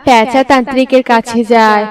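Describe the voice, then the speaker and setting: Lady narrator reading a kids cartoon story, high pitched, little far from the mic. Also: fairly even intonation, brisk. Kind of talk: scripted children's story narration.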